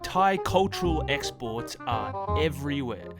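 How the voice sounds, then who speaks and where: Guy reading out list points, crisp, music playing under him. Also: mid-range voice, lively intonation, a little slow. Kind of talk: announcing list headings.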